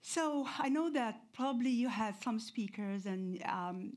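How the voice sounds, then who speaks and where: A woman in her forties, originally from Egypt, giving a public lecture in a hall with a lapel mic, talking conversationally with many pauses and fillers. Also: a little high, animated, a little mellow. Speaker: guest lecturer. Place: lecture hall with seated audience.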